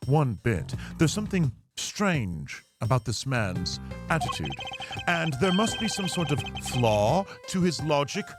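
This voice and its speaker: A man voicing a composed, analytical prosecutor in a video game, speaking formally and precisely with a haughty, deliberate manner. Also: a little high, big pitch swings, over music. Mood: wary, stern.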